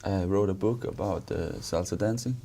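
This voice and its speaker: Deep, mellow, halting Danish man. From Denmark. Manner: halting, with repeated words. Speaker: interview guest, author and engineer. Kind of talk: conversational self-introduction.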